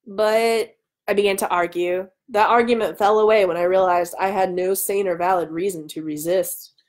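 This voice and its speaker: A woman's voice: mid-range voice, fairly even intonation, normal speed. Woman reading a story aloud. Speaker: author and host. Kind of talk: animated live reading of a first-person story. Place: small room at home. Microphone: webcam mic at arm's length.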